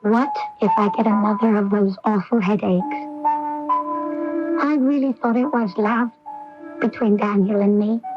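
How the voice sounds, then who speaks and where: Slow, high-voiced lovelorn young woman. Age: young adult. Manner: slow, pleading, earnest. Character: earnest, lovelorn young woman, intense and vulnerable. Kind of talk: 1980s radio drama acting and narration. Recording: dull lo-fi 1980s AM radio recording.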